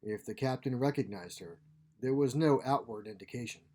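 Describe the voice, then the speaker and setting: A man's voice: medium pitch, normal speed, mellow. A man narrating a scripted short story as its author and reader. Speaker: author reading his own short story.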